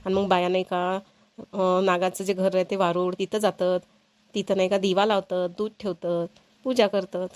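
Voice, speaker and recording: A woman's voice: fairly low pitch, flat delivery, moderate pace, a little mellow, dry recording, natural voice. A woman voicing an older, traditional, instructive village mother-in-law in a cartoon, with a rural Vidarbha Marathi accent. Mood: instructing. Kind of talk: amateur cartoon comedy-drama dubbing.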